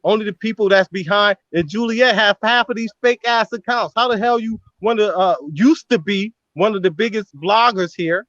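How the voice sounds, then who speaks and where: A man's voice: high voice, normal speed, few pauses, fairly bright tone, no echo, dull audio. A man arguing defensively and rambling, with lots of "you know what I'm saying", on a call. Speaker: guest in a live stream. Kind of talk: heated, defensive argument.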